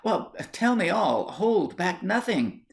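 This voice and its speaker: A man's voice: high voice, big pitch swings, slow, creaky, pitched above the performer's own voice, exaggerated voice. A man voicing a fretful, overwrought wife in a storybook tale, exclamatory and breathless. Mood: anxious.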